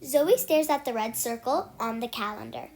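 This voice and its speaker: A child reading a children's picture book aloud, haltingly, repeating and correcting words along the way. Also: mid-range voice, expressive, a little mellow. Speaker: amateur read-aloud reader.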